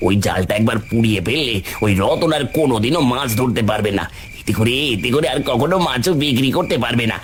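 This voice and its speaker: A man's voice: medium pitch, expressive, fast, a crowd in the background, natural voice. A man voicing a scheming, jealous village fisherman in a cartoon story, gloating as he plots. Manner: gloating, plotting. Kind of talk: cartoon voice acting.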